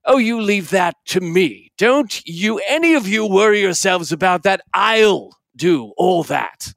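A man's voice: high voice, lively intonation, measured pace, creaky, a bit bright, no room sound, clean studio sound, full character voice. A man voicing a fussy, bossy middle-aged man in an affected British accent, ordering everyone about.

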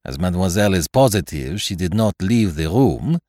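Man doing a polite fussy detective, formal and exact, lively lilt. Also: low voice, moderate pace, no room sound, clean studio sound. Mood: courteous. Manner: courteous, precise, formal.

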